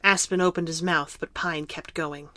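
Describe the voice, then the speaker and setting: A woman reading scripted narration for an audio drama in a measured, dramatic storytelling manner. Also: low voice, steady tone, sharp tone, dry recording, studio quality.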